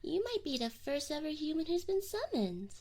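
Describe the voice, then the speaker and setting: A woman's voice: high-pitched, very animated, moderate pace, a little mellow. A woman voicing a teasing, flustered but affectionate succubus in an intimate ASMR roleplay, close to the microphone with whispered asides. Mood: teasing, smug. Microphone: close binaural microphone.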